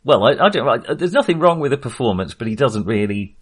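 A man's voice: mid-range voice, very animated, fast talker, husky. Male podcast co-host, mid-range voice, informal and conversational, discussing films. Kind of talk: casual film discussion.

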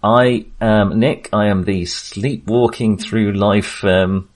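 A man hosting a podcast in a casual discussion style, halting and often searching for words. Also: on the low side, steady tone. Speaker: podcast host.

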